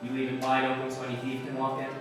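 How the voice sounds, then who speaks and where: A man playing a terse, dry, matter-of-fact police sergeant in 1950s radio drama style, with clipped deadpan delivery. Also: mid-range voice, racing, lightly acted. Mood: probing, businesslike. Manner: clipped, deadpan questioning and reporting. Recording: very echoey hall, distant mic, music.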